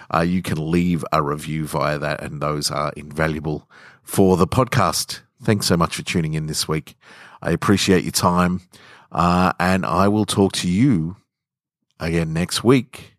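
A man's voice: sits low, fairly bright tone, dry recording, clean studio sound. An Australian man hosting a story podcast, speaking in an easygoing, self-deprecating way. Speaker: podcast host and story reader. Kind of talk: story reading and casual podcast sign-off. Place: home garage.

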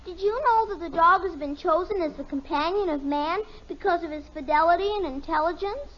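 Young daughter for old-time radio sitcom: tiny high voice, earnest, innocent, dull vintage sound. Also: female voice, fairly quick. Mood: matter-of-fact, reciting. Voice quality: childlike. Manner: recites facts solemnly, innocent. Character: earnest, persistent young daughter who loves dogs. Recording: muffled old radio broadcast recording.

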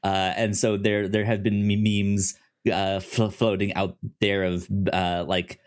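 A man's voice: fairly low pitch, level tone, measured pace, smooth. A man co-hosting a podcast in casual film discussion, often stammering and repeating words as he goes. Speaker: podcast co-host.

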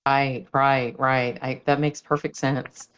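A man with a mid-pitched voice conducts a casual interview. He is affirming and somewhat halting, often rewording a question as he asks it. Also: little pitch movement, a bit bright, muffled recording. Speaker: interviewer, host.

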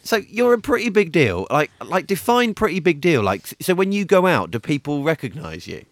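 A man's voice: fairly high pitch, sing-song, brisk, dry recording. A British man co-hosting a podcast, asking teasing questions in casual banter. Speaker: podcast co-host, interviewer.

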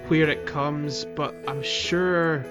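A man's voice: fairly high pitch, fairly even intonation, slow pace, loud music behind the voice. A man co-hosting a podcast, chatting casually about a video game. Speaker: podcast co-host.

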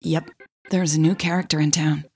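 A woman's voice: low voice, level tone, normal speed, a little mellow, husky, soft background music. A woman hosting and narrating a scripted fiction podcast in a storytelling style.

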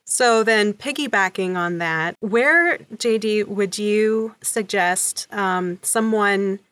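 A woman's voice: mid-range voice, slow, fairly bright tone, dry recording. Woman podcast co-host, dry close sound. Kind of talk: conversational interviewing.

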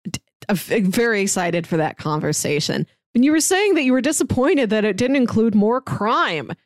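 A woman's voice: fairly high pitch, sing-song, moderate pace, no echo, studio quality. A woman co-hosting a podcast in casual chat, talking in a chatty way with hesitant asides. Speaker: podcast co-host. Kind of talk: casual podcast chat, pitching films and reading synopses.

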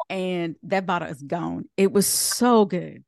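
A woman hosting a podcast, bubbly and quick to exclaim while welcoming a guest. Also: fairly low pitch, gravelly, a little mellow, dry recording, clean studio sound. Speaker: podcast host. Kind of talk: casual podcast chat.

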